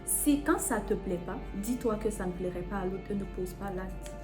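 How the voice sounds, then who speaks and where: A woman's voice: mid-range voice, moderate pace. A young woman chatting casually and candidly as a video co-host, emphatic, repeating herself to insist. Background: music.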